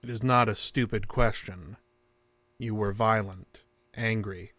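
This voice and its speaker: A man's voice: lowish voice, steady tone, a little slow, fairly bright tone, no echo. A man playing a detached, formal interrogator from a mysterious force in a science fiction audio drama. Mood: clinical probing. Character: cold, clinical interrogator of a mysterious unseen force. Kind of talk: scripted audio drama acting.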